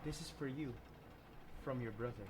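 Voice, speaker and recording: Very low-voiced woman reading game lines. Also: moderate pace, heavy background noise. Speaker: gaming channel player.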